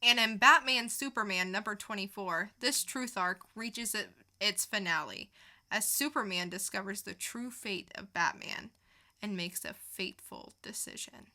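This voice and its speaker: A woman's voice: medium pitch, measured pace, bright, forceful tone. A woman co-hosting a podcast in a casual review-chat style. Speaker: podcast co-host.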